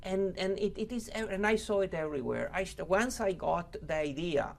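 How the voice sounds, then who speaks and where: Gravelly middle-aged man, thoughtful interview guest. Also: a little high, a little hiss or hum. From Libya, raised in Venezuela. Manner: thoughtful, restarts often, lists things. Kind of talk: conversational interview answers.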